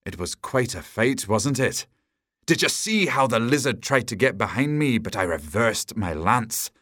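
A man's voice: medium pitch, expressive, a little slow, fairly bright tone, no room sound, clean studio sound. A man voicing a vain, boastful knight in a storybook, pompous and bragging. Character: boastful, self-satisfied male knight, triumphant and vain.

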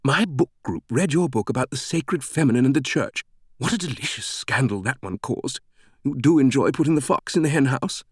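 A man's voice: mid-range voice, expressive, moderate pace, slightly rough, dry recording, clean studio sound, pitched above the performer's own voice. A man voicing an exuberant, chatty middle-aged British woman with a sing-song, gushing delivery in an audiobook. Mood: playful, chatty.

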